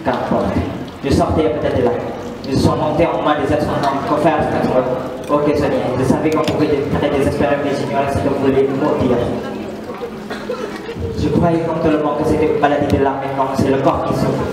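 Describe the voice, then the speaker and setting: A man's voice: fairly high pitch, flat delivery, moderate pace, natural voice. A young man, a student actor, playing a tormented, imperious Roman emperor. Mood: anguished. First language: Indonesian. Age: young adult. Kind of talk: theatrical dramatic stage reading. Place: large reverberant hall with an audience. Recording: very echoey hall, distant mic, audience noise.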